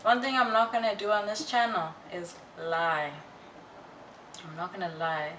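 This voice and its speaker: A young woman vlogger chatting casually to her viewers in a candid, halting, reflective way with slangy asides. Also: mid-range voice, little pitch movement. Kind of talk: casual personal chat to viewers. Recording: roomy, distant mic, faint noise.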